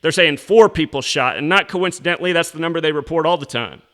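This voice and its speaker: Bright, clear-voiced sardonic American man. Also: a little high, fast. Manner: sardonic, pointed. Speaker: host of an online conspiracy commentary show. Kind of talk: unscripted conspiracy monologue and commentary.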